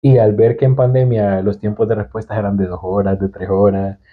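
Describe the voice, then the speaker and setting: A man's voice: lowish voice, little pitch movement, a little fast. Salvadoran guy, tinny phone-like sound. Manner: chatty, hesitant restarts, laughs often. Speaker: guest entrepreneur. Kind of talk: casual podcast chat.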